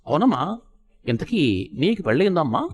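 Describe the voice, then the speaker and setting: A man's voice: on the high side, very animated, normal speed. A man voicing an earnest, talkative wedding priest caller who drawls in an avuncular, pompous way. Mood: earnest, boastful.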